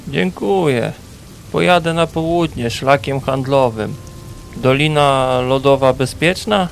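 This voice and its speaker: A man playing a wary, self-assured wandering traveller in a fantasy audio drama, with a measured, dry delivery. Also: mid-range voice, vocal fry, faint music behind. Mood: guarded.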